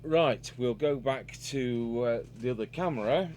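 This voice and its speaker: A man's voice: mid-range voice, measured pace, a little mellow, a little hiss or hum. A man giving a casual, unscripted hands-on repair demonstration, musing aloud as he works. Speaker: radio repair hobbyist and video presenter.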